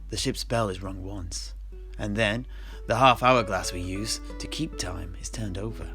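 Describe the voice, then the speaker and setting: A man playing a genial old-world seafarer who recounts his grandfather's voyages in measured storyteller's phrasing. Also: lowish voice, vocal fry, fairly bright tone. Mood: measured, explanatory. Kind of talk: in-character fantasy storytelling. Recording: music playing under the voice.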